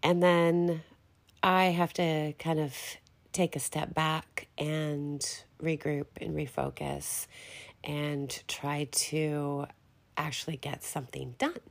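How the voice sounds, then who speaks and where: A woman's voice: low-pitched, flat delivery, slow pace, creaky. A middle-aged woman hosting her own podcast in a casual, rambling, self-deprecating monologue, a little congested from a recent illness. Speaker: podcast host.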